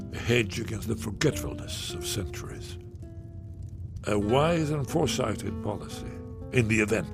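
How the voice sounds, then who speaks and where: An actor voicing an elderly, excitable male loremaster in a video game, rambling theatrically as he explains. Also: mid-range voice, vocal fry.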